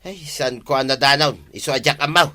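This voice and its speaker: An actor playing a male villager in a radio comedy, teasing his friend in a brisk way. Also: medium pitch, pushed and bright. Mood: evasive. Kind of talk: radio comedy drama acting.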